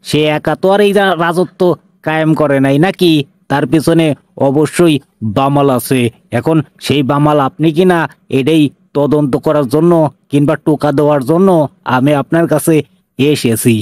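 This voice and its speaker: A man voicing a blunt, sarcastic, menacing police inspector in a cartoon, with a Bangladeshi accent and an officious, deliberate manner. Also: a little high, darkish tone, dry recording, close to an everyday voice. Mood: accusing. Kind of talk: cartoon dialogue.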